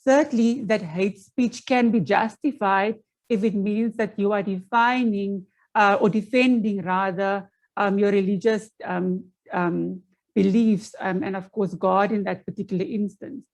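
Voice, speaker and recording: South African woman, Afrikaans first language, presenting on a video call from home, earnest and measured, restarts often. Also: mid-range voice, steady tone, darkish tone. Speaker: panel presenter. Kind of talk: online conference presentation.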